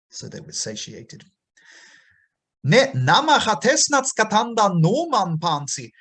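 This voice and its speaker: A man reading and explaining an ancient text as an informal lecture, pausing and thinking aloud as he goes. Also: a little high, a bit bright, breathy edge. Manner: hesitant, thinking aloud with pauses and repeats.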